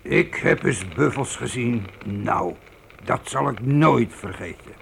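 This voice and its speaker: A seasoned trail storyteller, reminiscing with emphasis. His voice is creaky and swings widely in pitch, with faint ambience behind it. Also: lively intonation, takes it slowly, slight background noise, heavily acted. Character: seasoned frontier man spinning campfire buffalo tales. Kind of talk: Dutch radio play storytelling.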